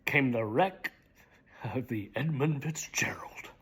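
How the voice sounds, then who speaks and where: Middle-aged man, dramatic reader, husky voice, very slow, theatrical hushed delivery. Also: mid-range voice, expressive. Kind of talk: dramatic spoken reading of song lyrics.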